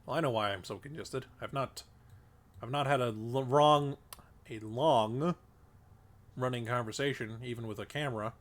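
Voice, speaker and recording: A man's voice: medium pitch, normal speed. A congested man casually thinking aloud while streaming a game, rambling with lots of fillers. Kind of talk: casual gameplay commentary. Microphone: desk microphone.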